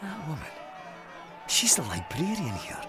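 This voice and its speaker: An actor with a Scottish accent playing a grim, haunted, commanding police sergeant in a formal, clipped manner in an audio drama. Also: male voice, fairly high pitch, wildly swooping pitch, a little slow, shouting, over music, crowd noise. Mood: wary. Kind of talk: full-cast audio drama acting.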